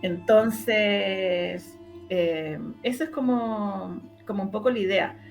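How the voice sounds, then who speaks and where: Halting Chilean woman in an interview. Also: medium pitch, faint music behind. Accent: Chilean Spanish. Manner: halting, repeats words and restarts often. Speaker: interviewed radio drama producer. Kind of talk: conversational interview answers.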